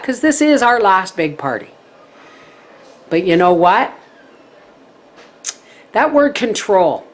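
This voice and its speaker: A middle-aged woman chatting to her viewers as a homestead vlogger, telling stories in a lively way. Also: fairly low pitch. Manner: chatty, animated storytelling. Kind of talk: casual chat to viewers.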